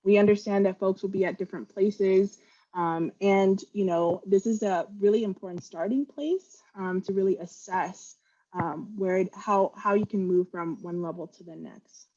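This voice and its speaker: A woman's voice: medium pitch, normal speed, warm tone, dull audio. A woman whose first language is Vietnamese, presenting an online training webinar in an earnest manner, with fillers and restarts, on a video call mic.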